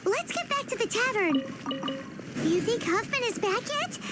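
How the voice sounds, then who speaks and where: A tiny floating fairy girl from a video game, extremely high and squeaky. She is excitable and dramatic, swooping through her exclamations at an unhurried pace, with loud music behind her. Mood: relieved. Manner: exclamatory, dramatic, speaks of self in third person.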